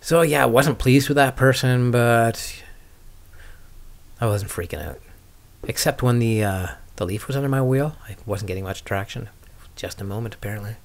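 A man's voice: medium pitch, moderate pace, gravelly. A man hosting a skating podcast, chatting casually and ramblingly with dry, wry humour as he answers viewer questions.

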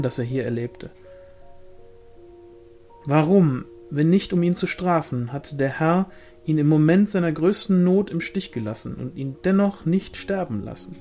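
A man's voice: mid-range voice, a little mellow. A man reading a literary novel aloud as scripted audiobook narration. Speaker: audiobook reader.

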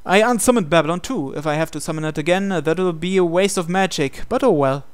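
A man's voice: a little high, brisk, clear, resonant tone, no room sound. A man reading visual novel narration aloud in a dramatic storytelling style. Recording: game music playing behind. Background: video game music.

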